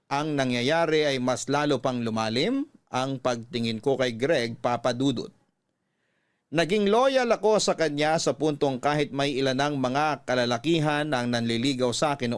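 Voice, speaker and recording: A man with a Filipino accent reading an emotional first-person story as a radio drama narrator, with deliberate, dramatic phrasing. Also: medium pitch, lively intonation, smooth.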